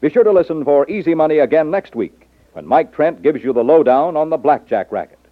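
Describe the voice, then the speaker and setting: A man's voice: fairly high pitch, expressive, fairly quick, no echo. A man announcing in the formal style of 1950s radio. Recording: tinny, dry 1950s radio recording with music.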